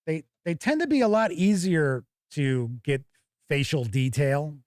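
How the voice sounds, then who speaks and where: Casual man, higher-pitched voice, close dry sound. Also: animated, unhurried, a little mellow, dry recording. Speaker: host of a tabletop gaming review show. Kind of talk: casual live unboxing commentary, reading out viewer chat.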